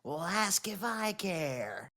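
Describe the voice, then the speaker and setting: A man's voice: high-pitched, very animated, slow pace, bright, forceful tone, a little hiss or hum, exaggerated voice. A man voicing a drunk, rude, hot-tempered male cartoon sea sponge who rants in an aggressive, whiny way. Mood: dismissive.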